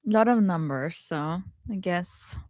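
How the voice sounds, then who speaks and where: A woman's voice: fairly low pitch, animated, takes it slowly, a little mellow, no room sound, studio quality. A woman co-host in a casual panel chat, hesitant and thinking aloud.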